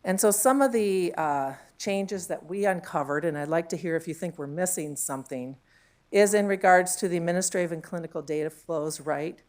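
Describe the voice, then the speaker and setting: Low-voiced woman speaking on a conference panel. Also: moderate pace. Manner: chatty, many fillers and tag questions. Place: conference room. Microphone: handheld mic.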